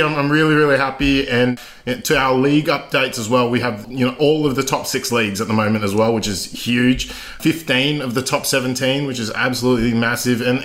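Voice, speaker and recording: A man's voice: mid-range voice, fairly bright tone. Chatty Australian man hosting a podcast. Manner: upbeat, chatty, laughs while talking. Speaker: podcast host. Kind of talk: casual podcast chat.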